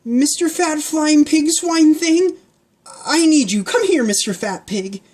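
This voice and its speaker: A woman's voice: animated, takes it slowly. A woman reading a storybook aloud, voicing a plucky young girl in a raised, eager voice. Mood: coaxing. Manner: high, coaxing, then yelling.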